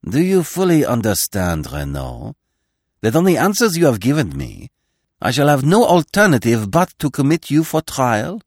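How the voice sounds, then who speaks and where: Pompous male magistrate, read by a male narrator: sneering, declamatory, wide swoops in pitch, dry storybook recording. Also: huge pitch swings, moderate pace, a little mellow, dry recording. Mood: stern. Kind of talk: audiobook character acting.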